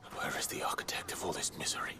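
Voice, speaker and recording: An actor playing a grim, stoic yet compassionate adventurer in a video game, terse and brooding, often close to a whisper. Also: male voice, racing, lightly acted. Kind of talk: dramatic video game acting.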